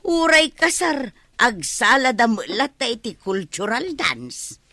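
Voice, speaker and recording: A woman's voice: a little high, very animated, slow pace, sharp tone, dry recording, clean studio sound, exaggerated voice. An actress playing a boisterous, food-grabbing village woman in an Ilocano radio comedy drama, with an Ilocano accent. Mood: gossipy. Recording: music under the dialogue.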